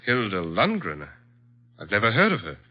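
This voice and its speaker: An actor playing an anxious, flustered gentleman client, speaking haltingly and earnestly in 1950s radio drama style. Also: on the low side, huge pitch swings. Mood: shocked. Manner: halting, urgent. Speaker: actor in a detective radio series. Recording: dull vintage 1950s radio recording.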